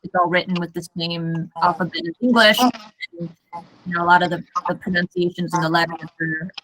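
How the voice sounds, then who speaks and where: American woman, bright tone, friendly tutor, careful reader, video call. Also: lowish voice, fairly even intonation, fairly quick, poor audio. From United States. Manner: friendly, self-correcting, reads text aloud carefully. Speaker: English conversation tutor. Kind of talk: casual online lesson.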